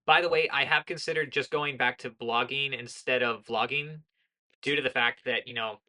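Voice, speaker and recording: Bright monotone young woman vlogger. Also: extremely low pitch, moderate pace, poor audio. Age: young adult. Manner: earnest, lecturing, with frequent self-corrections. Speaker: political commentary vlogger. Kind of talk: casual spoken commentary.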